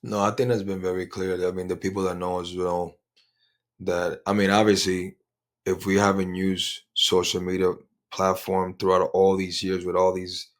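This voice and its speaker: A man's voice: deep voice, completely flat delivery, normal speed. A man co-hosting a casual podcast, rambling and repeating words, with bits of Spanish mixed in. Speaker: podcast co-host. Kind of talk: casual chat.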